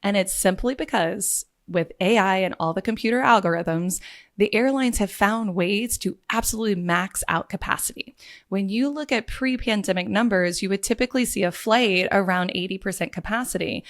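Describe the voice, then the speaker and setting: A woman's voice: medium pitch, moderate pace. A woman who owns a luxury travel agency, a podcast guest chatting upbeat and telling client stories. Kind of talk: podcast interview.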